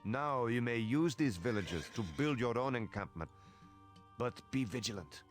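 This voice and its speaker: A man as a courteous medieval ally, mid-pitched. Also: animated, normal speed, faint music behind, natural voice. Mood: reassuring. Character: courteous medieval male ally advising a knight. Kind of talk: scripted game dialogue.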